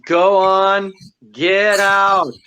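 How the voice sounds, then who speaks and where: A man's voice: sits high, level tone, drawn-out words, noisy background. A man playing a suave, wry, affectionate detective husband in 1940s radio acting style. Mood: cool, firm. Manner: wry, debonair.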